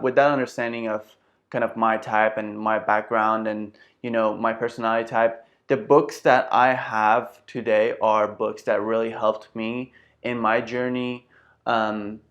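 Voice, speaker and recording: Young adult man, Iranian accent, earnest and reflective vlogger voice, mid-pitched, speaking indoors to a camera. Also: fairly bright tone. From Iran. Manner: earnest, reflective, gestures with hands while talking. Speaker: self-development video host. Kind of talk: casual talk to camera. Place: bright room indoors with houseplant.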